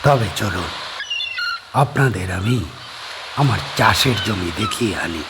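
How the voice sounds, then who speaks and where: A man voicing a lonely, secretive middle-aged farmer on an island, speaking low, soft and measured. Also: animated, very noisy. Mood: coaxing.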